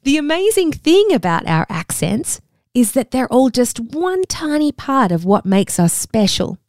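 A woman's voice: high voice, very animated, unhurried, darkish tone, no room sound, studio quality. Upbeat Australian woman hosting a kids' show. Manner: talks directly to young listeners, upbeat and encouraging. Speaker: children's podcast host. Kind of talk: scripted kids' show presenting.

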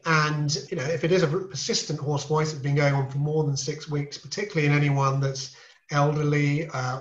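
A man explaining throat complaints to a podcast host in a measured, reassuring way, with small restarts as he talks. He sounds like a seasoned specialist. The sound is roomy and a little dull. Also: mid-range voice. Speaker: ear, nose and throat specialist guest. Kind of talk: podcast interview, explaining medical topics.

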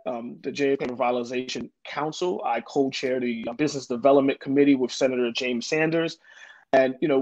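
A man's voice: mid-range voice, a little mellow. A man, a guest in an interview, answering earnestly with many fillers and restarts. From Jamaica, Queens, New York. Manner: earnest, lots of ums and restarts. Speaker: development corporation president, guest. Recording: dull lo-fi audio.

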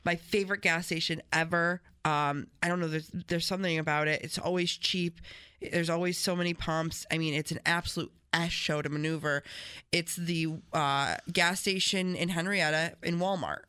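A woman's voice: on the low side, fairly even intonation, normal speed, sharp tone, clear, resonant tone. A woman co-hosting a radio show, chatting casually and rambling with lots of fillers. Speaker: radio co-host. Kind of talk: casual morning radio chat.

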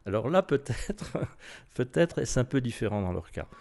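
A man's voice: medium pitch, sing-song, normal speed, vocal fry, darkish tone. An older man with a French accent, a university lecturer talking in an interview, thoughtful and hesitant, with many fillers and restarts. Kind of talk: relaxed interview talk.

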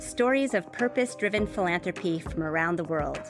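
A woman's voice: on the low side, lively intonation, fairly quick. A woman hosting a podcast. She reads a scripted introduction and then asks interview questions in a polished, welcoming way. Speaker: podcast host. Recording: music bed under the voice.

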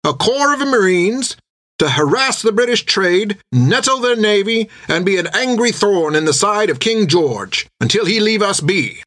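Man voicing a formal, rousing officer, pitched well above his own voice. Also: expressive, measured pace, a bit bright. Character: stern male officer recruiting marines.

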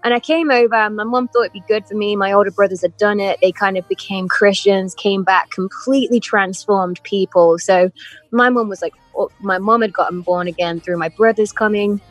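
A woman's voice: mid-range voice, fairly quick, dry recording, soft background music, low-quality recording. A woman in her thirties with a mixed English and American accent, chatting animatedly as an interview guest over a video call. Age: 30s. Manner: chatty, animated storytelling with frequent laughs. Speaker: guest musician. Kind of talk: casual interview chat.

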